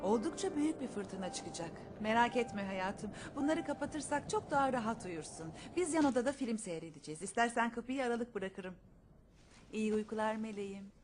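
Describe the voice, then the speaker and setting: An actress playing a loving, gently firm mother of young children in a dubbed cartoon. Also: on the high side, lively intonation, normal speed, over music, close to an everyday voice. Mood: soothing.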